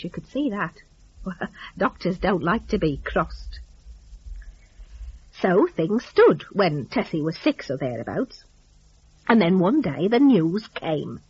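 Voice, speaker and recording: Woman storyteller, gossipy folksy telling, really lively pitch, slightly muffled recording. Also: slightly rough. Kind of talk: dramatic scripted story narration in folksy dialect.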